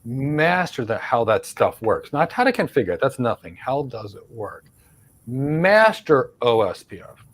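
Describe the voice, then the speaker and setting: A man's voice: medium pitch, sing-song, unhurried. A middle-aged man with a Philadelphia accent, hosting a live career Q&A and stressing points by repeating words. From United States. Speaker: host, career mentor. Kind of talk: live Q&A, answering career questions.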